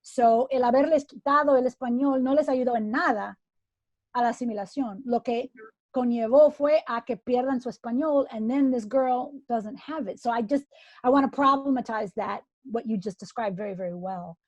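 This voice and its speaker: A woman's voice: fairly high pitch, moderate pace, a little mellow, no echo, poor audio. A woman academic in conversational discussion, switching between two languages and repeating words for emphasis. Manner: code-switches between Spanish and English, emphatic repetition. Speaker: academic panelist.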